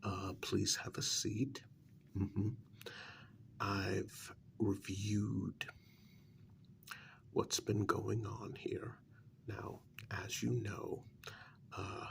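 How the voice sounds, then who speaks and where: A man playing a blunt, put-upon vampire counselor in a soft-spoken ASMR role-play, breathy and often near a whisper, halting and formal. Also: lowish voice, exaggerated voice. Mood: measured, blunt.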